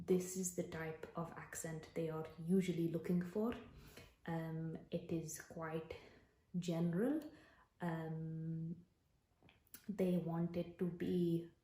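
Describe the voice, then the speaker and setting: Brief: woman, general Indian accent, soft half-whispered ASMR delivery, low warm voice, roomy echo. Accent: general Indian, put on for the video. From Britain. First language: Punjabi. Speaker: actor and ASMR creator. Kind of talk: soft-spoken ASMR talk.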